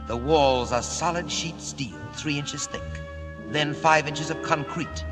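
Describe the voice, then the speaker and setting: An actor playing a smug, menacing gang boss in a deliberate, theatrical 1940s radio serial style. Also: male voice, on the high side, natural voice. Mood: mocking. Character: menacing, gloating male gang boss, calm and mocking. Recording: vintage radio recording, reverberant, music behind.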